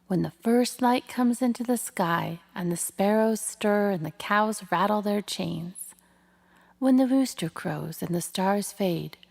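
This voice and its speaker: A woman voicing a tired, calm, motherly female spider in a storybook, soft, low and breathy.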